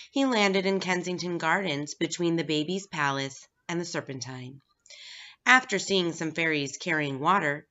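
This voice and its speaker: A woman's voice: on the low side, fairly bright tone. A woman reading a children's story aloud as scripted narration. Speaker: staff reader at a school. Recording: dull, lo-fi recording.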